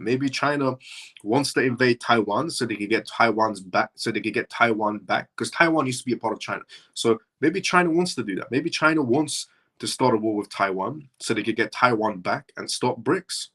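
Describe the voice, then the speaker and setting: A man's voice: medium pitch, moderate pace, fairly bright tone. A man originally from Iran, hosting a live show and talking through his points in a rambling way that circles back on itself. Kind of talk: casual livestream commentary.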